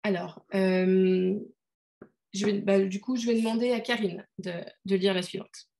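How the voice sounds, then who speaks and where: A woman's voice: medium pitch, little pitch movement, a little slow, gravelly, warm tone. A woman leading an online teaching workshop over a video call, talking casually with many fillers and restarts. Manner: hesitant, many fillers, conversational. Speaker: workshop leader.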